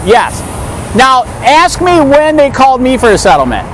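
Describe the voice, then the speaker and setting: Man, very high pitch, casual street chat, animated questioning manner, noisy traffic recording. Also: normal speed. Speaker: activist filming a street conversation. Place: outdoors on a street near traffic. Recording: loud street and traffic noise.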